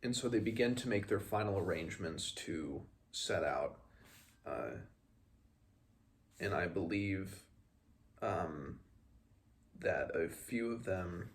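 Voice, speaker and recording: A man with a low voice full of fry reads from a fantasy novel in a casual read-along style. He moves loosely between the text and his own halting retelling. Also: animated. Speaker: host of a book read-along.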